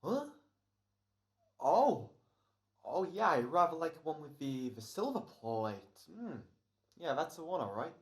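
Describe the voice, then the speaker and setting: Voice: medium pitch, sing-song, unhurried, pauses often, roomy sound. An actor playing an exasperated, hammy male radio actor in an old-time comedy-horror radio play. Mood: humouring, amused.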